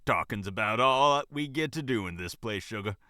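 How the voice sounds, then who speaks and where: Man acting bold female fox boss, storybook, folksy, casual, sassy, lively pitch. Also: measured pace, no echo, clean studio sound. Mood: casual, gossipy. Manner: folksy, casual, sassy, says ain't and sugar. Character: bold, nosy, kindly female fox diner manager.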